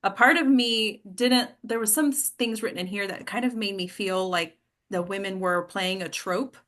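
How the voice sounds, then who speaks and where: A woman with a very bright, forward voice leads an informal discussion. She thinks out loud, hesitating and reworking her sentences as she goes, in the easy style of a host among colleagues. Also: mid-range voice, moderate pace. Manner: hesitant, self-correcting, informal. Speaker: host and director of a table-read discussion. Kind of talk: casual discussion, thinking aloud.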